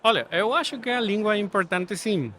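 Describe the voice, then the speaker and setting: A man from Guatemala with a Spanish accent, speaking Portuguese as a second language as a podcast guest, measured and thoughtful. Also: high-pitched, lively intonation, pushed and bright. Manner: thoughtful, measured, ends points with "sabe?". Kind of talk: podcast interview. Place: studio setting.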